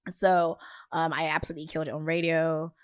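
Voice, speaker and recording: A woman from Nigeria with a nasal voice, a podcast guest telling her story in relaxed, casual chat full of "like" and "um". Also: low-pitched, fairly quick, smooth, dry recording, clean studio sound. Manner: relaxed, filler-heavy storytelling with frequent "like" and "um".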